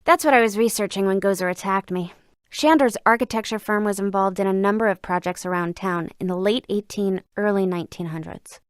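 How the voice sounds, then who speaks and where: An actress voicing a brisk, learned museum curator in a video game, speaking in an articulate, scholarly and sardonic way. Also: female voice, medium pitch, no echo, studio quality, lightly acted. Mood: matter-of-fact. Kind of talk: scripted video game dialogue.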